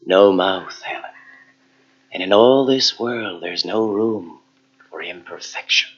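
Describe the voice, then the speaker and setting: An actor playing a cold, deranged gentleman murderer with a deliberate, cruel, self-satisfied manner in an old radio suspense drama. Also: deep voice, slightly rough, exaggerated voice. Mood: cold menace. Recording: muffled old radio tape.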